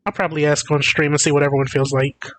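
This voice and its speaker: A man's voice: a little high, flat delivery, fast talker, fairly bright tone, slight background noise. A man livestreaming games, chatting casually and musing aloud in a rambling way. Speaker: game streamer.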